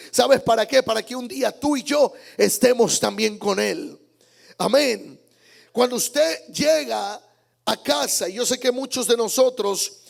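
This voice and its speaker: A man's voice: very high-pitched, vocal fry. A male pastor preaching a Sunday sermon in church, emphatic, repeating key words and calling out amens. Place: church service.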